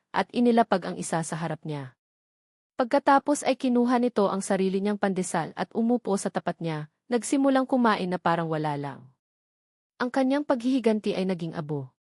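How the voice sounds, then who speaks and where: A woman narrates a dramatic audiobook story from a script. Her voice sits at a middle pitch, and the sound is dry, with no room around it. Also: darkish tone, no room sound.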